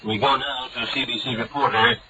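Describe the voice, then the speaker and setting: A man announcing a formal news bulletin in a measured, even read delivery. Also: mid-range voice. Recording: muffled hissy old shortwave broadcast.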